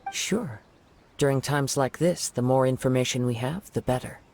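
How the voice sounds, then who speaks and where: An actor playing a calm, courteous young samurai in a game, speaking in a formal, soft-spoken way. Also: male voice, medium pitch, moderate pace, vocal fry, slight background noise, close to an everyday voice. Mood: composed. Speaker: wandering samurai. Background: game music.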